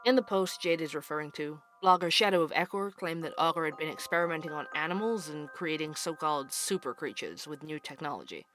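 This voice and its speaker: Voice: medium pitch, fairly quick, few pauses, fairly bright tone, lightly acted. An actor playing a wry, skeptical investigative radio host in an audio drama, conversational and sardonic. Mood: measured. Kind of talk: audio drama radio show monologue and interview. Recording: music under the voice. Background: music.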